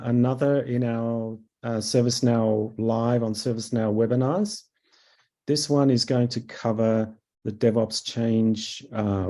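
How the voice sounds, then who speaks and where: A man with a croaky voice from a cough, presenting a webinar as a product manager, halting with fillers and clearing his throat. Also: medium pitch, dark tone.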